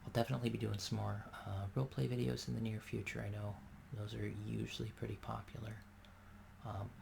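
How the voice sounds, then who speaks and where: A man speaks softly in a gentle ASMR ramble, pausing often and filling gaps with small hesitations. His low voice carries a heavy gravelly fry and stays flat in pitch. A clear hiss sits behind him. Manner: soft-spoken, rambling with many fillers. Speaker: ASMR creator. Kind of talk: soft-spoken ASMR ramble.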